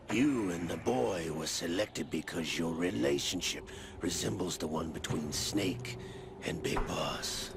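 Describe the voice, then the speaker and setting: An actor playing a smug, cruel older gunslinger villain in a video game, gravelly, theatrical and condescending, with drawn-out emphasis. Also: male voice, low voice, heavy background noise, full character voice. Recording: loud dramatic score behind. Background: cinematic game music.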